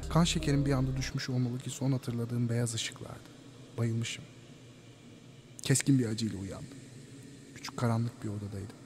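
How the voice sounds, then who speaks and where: An actor playing a shaken prisoner who recounts an ordeal in short statements in an audio drama monologue. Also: male voice, mid-range voice, fairly even intonation, long pauses, music in the background, close to an everyday voice. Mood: pained, bleak. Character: shaken prisoner recounting fainting and waking in darkness.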